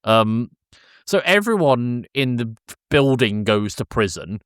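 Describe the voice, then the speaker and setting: A man co-hosting a podcast, casually recapping a film in a deadpan, exasperated comic manner. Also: medium pitch, takes it slowly, clean tone, dry recording, clean studio sound. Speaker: podcast co-host.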